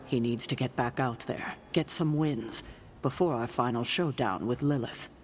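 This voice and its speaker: An actress playing a stern, wise female mentor witch who speaks firmly and briskly. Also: rumbling low, slight background noise. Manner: firm, brisk, commanding. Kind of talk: video game cutscene acting.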